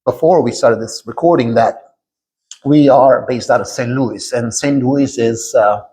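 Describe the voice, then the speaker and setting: A man's voice: medium pitch, unhurried, dark tone, low-quality recording. A man hosting a reflective conversational interview, affirming his guest and often checking in as he makes his points. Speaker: podcast host, interviewer.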